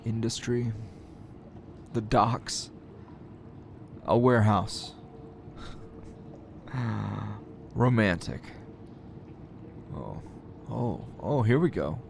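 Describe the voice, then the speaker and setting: Man acting as defiant captured male hero, slow sardonic drawl, taunting, sighs and chuckles, ASMR roleplay, faint background noise. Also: on the low side, animated, vocal fry, heavily acted. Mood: dry, mocking.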